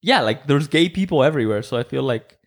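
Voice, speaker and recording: A man's voice: medium pitch, quick pace. Rambling young man, casual chat. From Mexico. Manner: rambling, heavy use of "like", animated quoted voices. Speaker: podcast host.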